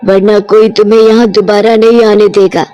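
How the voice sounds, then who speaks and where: A woman's voice: medium pitch, flat delivery, moderate pace, dark tone, dull audio, soft background music, natural voice. Woman doing a kind witch schoolteacher. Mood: firm.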